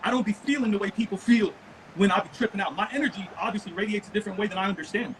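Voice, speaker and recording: A man's voice: high-pitched, racing, noisy background. A man who grew up in Lebanon, talking in a blunt, profane, rambling way in a heated casual chat.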